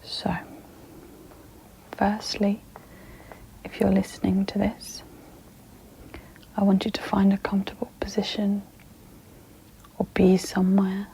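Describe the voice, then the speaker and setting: Woman, breathy ASMR whisper, mellow and gentle, guided meditation, long pauses, faint noise behind. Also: mid-range voice, low-quality recording. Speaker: ASMR host guiding a sleep meditation.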